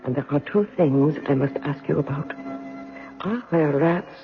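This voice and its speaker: An actress playing a devoted, resourceful general's wife in an old-time radio drama, with an earnest, measured manner. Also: female voice, deep voice. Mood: discreet, worried. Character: devoted, resourceful French general's wife, anxious but practical. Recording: tinny, band-limited old radio broadcast, music.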